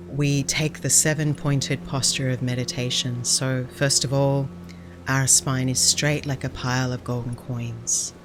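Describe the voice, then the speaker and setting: A woman speaking as a Buddhist nun and meditation teacher, giving calm, gentle, unhurried guided meditation instruction. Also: very deep voice, background noise.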